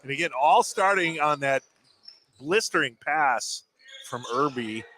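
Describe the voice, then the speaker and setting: A man's voice: a little high, expressive, a little slow, pushed and bright, low-quality recording. A man working as a color commentator on a basketball broadcast, reacting agreeably and analyzing plays conversationally.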